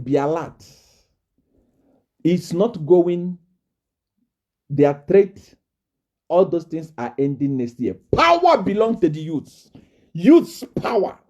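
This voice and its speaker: A man from Zambia preaching a fiery live-stream address, repeating himself and rising to shouts and laughter. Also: fairly high pitch, very animated, slow pace, long pauses, muffled recording.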